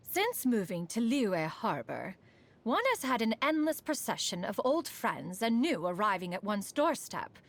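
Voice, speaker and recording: A woman voicing a proud, refined immortal sage and inventor in a video game, with formal, archaic, poised diction. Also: mid-range voice. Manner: formal, stately, archaic diction, refers to herself as "one". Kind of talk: scripted game character voice lines.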